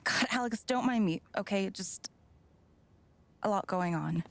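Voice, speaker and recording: An actress playing a guarded, grieving woman in a video game, halting and holding herself together, in a naturalistic style. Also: mid-range voice, gravelly. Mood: strained.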